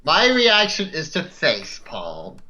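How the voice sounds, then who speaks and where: A man's voice: a little high, huge pitch swings, takes it slowly, crowd noise. A man playing a tabletop game in casual conversation, on a slightly dull, lo-fi recording. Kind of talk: casual chat.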